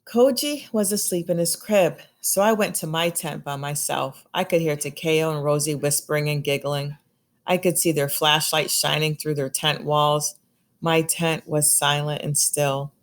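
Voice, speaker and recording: Female storybook reader, low register, expressive read-aloud for young children. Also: a little slow.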